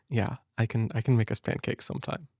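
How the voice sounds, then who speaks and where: Soothing male big-brother type for bedtime-style reading: unhurried, close and dry, tender, slightly hesitant. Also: mid-range voice, a little mellow, husky, dry recording. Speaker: audio roleplay performer as a caring big brother. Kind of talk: intimate comfort roleplay and storybook reading.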